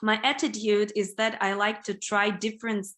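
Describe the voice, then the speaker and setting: A woman's voice: medium pitch, flat delivery, normal speed, fairly bright tone. Ukrainian-accented woman chatting on a video call. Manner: chatty, many "like" and "you know" fillers. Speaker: band vocalist, interview guest. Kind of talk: casual interview answers.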